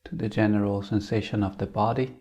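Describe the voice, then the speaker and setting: A man leading a guided meditation in a calm, unhurried manner, leaving long pauses. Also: on the low side.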